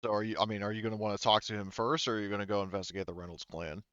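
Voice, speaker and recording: Low, smooth-voiced man running a tabletop game. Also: flat delivery, fairly bright tone, no echo, muffled recording. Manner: halting, rambling, thinks aloud. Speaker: game master. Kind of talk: improvised tabletop game narration.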